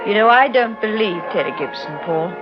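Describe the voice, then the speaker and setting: An actress playing a glamorous, inquisitive English detective's wife with a refined, sceptical manner in a vintage radio drama. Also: female voice, medium pitch, animated, a little fast, natural voice. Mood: sceptical, curious. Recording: dull vintage radio recording with music.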